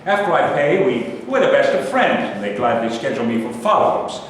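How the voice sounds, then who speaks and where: A man's voice: mid-range voice, sing-song, close to an everyday voice. Man acting aggrieved older man, echoey hall. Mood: grumbling. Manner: halting, complaining delivery with stammered repeats. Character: self-pitying, defensive 65-year-old man, a former soldier. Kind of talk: staged play reading. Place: live reading in a reverberant room. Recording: echoey hall, distant mic, noisy.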